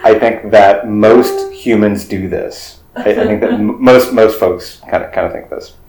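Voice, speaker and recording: A man in his forties chats loosely as a podcast co-host, tossing out jokes and restarting his sentences. His voice sits low. The room sounds roomy, with faint music underneath. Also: measured pace. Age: 40s. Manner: joking, rambling with restarts. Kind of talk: casual chat.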